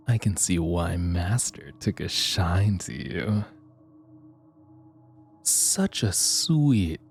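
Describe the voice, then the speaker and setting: A man playing a sinister, gleeful vampire's minion in an audio roleplay, servile to his master, with a raspy, breathy, creepy delivery that savors each word. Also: fairly low pitch, darkish tone, exaggerated voice. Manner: slow, creepy, drawn-out, savoring words. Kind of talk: ASMR roleplay acting.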